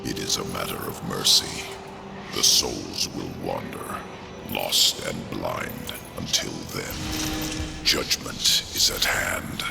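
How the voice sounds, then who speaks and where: An actor playing a solemn, haughty undead lord of the dead in a video game, with a gravelly, imperious, theatrical delivery. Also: male voice, very deep voice, steady tone, takes it slowly, exaggerated voice. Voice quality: gravelly, creaky. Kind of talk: video game cutscene acting. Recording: loud cinematic music behind.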